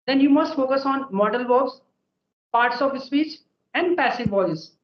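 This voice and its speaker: A man's voice: very high-pitched, measured pace, echoey room, lo-fi sound. A young man with an Indian accent, native Hindi speaker, explaining step by step in casual talk and tagging his sentences with "okay" and "right". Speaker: guest English learner sharing tips. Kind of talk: conversational advice.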